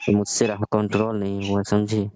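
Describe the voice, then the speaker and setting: A man acts out a lecherous older father-in-law in a staged comic phone call. The voice is low and dark, coaxing and insistent, and the audio is dull and lo-fi. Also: completely flat delivery, normal speed, no room sound, lightly acted. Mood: brazen, suggestive.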